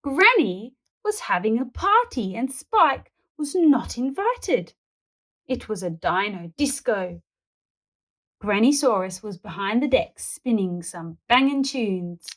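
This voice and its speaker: A woman storyteller reading a children's picture book aloud in an animated narrating style. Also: fairly high pitch, slow pace.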